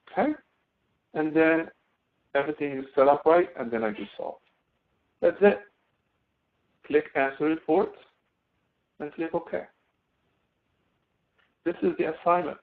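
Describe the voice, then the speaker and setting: Phone-like male instructor, step by step. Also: fairly high pitch. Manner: halting, step-by-step explaining. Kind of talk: live online software lecture with screen demonstration. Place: online screen-share session. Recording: thin phone-like audio.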